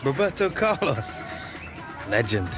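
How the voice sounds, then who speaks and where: A man's voice: mid-range voice, very animated, a little slow. An actor playing an easygoing young British male footballer with big dreams in a video game cutscene, with music behind him. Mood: warm, dreamy. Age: young adult.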